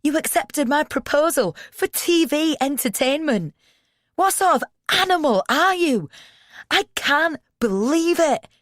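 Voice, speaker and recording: A woman voicing a hurt, furious young man who yells, stutters and sneers in an audiobook. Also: sits high, expressive, measured pace, no room sound, clean studio sound, pitched above the performer's own voice. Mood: furious shouting. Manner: yelling, stuttering, sarcastic.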